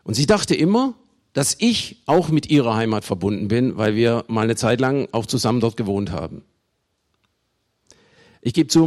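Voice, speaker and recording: A man from Germany preaching a sermon, with emphatic delivery, deliberate pauses and conversational asides to his listeners. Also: medium pitch, a little mellow. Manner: emphatic, with dramatic slow phrasing and pauses. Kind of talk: sermon to a congregation.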